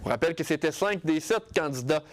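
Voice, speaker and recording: A man's voice: fairly high pitch, animated. Man hosting a news review. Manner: measured, reads from script with occasional fillers. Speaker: news host.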